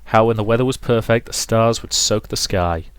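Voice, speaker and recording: A man's voice: fairly low pitch, moderate pace, smooth, no room sound. A man reading a visual novel aloud as a let's player, mixing dramatic narration with casual commentary. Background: game music.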